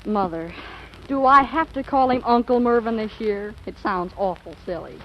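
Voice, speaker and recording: A woman's voice: fairly high pitch, normal speed, a little hiss or hum, natural voice. A woman playing a dramatic, stubborn fifteen-year-old girl with a petulant, slangy way of talking in an old radio comedy. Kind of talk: 1940s radio comedy acting. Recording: dull old radio broadcast recording.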